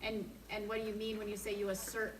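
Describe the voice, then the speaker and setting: A woman chairing a community meeting, loudly relaying questions to a caller. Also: medium pitch, completely flat delivery, quick pace, roomy sound, far from the mic, background noise. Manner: loud, relays questions clearly. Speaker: meeting facilitator.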